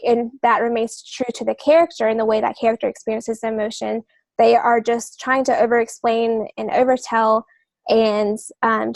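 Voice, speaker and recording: Higher-voiced woman explaining, close and dry. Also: no room sound. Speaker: podcast co-host, author. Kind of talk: casual explanatory podcast talk.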